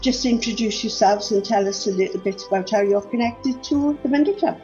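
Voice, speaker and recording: A woman's voice: on the high side, fairly quick, mellow, dull audio, soft background music. A woman, hosting a podcast and putting questions to her guests in an organised, friendly way. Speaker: podcast host.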